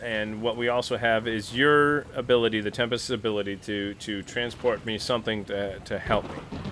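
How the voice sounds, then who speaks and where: Halting man in noisy tabletop game chat. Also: fairly low pitch, steady tone, fairly bright tone. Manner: halting, thinking aloud. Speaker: player in a tabletop roleplaying game.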